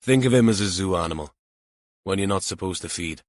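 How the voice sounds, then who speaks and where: Man playing senior male detective, dry, deadpan, long-suffering, lowish voice, dry recording. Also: brisk, no room sound, lightly acted.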